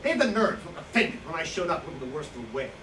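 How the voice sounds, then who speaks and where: A man playing a self-pitying, self-justifying wartime profiteer in a staged reading, halting and indignant, with nervous chuckles. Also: a little high, sing-song, far from the mic. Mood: smug, aggrieved. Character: self-pitying, self-justifying Nazi-era profiteer who seized a bookstore. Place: live staged reading in a room. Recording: echoey, noisy hall recorded from afar.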